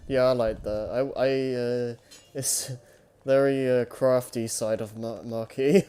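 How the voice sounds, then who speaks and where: Male podcast host, slow and smooth, hesitant, informal delivery. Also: mid-range voice, fairly even intonation, mellow. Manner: hesitant, halting, searching for words. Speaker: podcast host leading discussion. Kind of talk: casual chat.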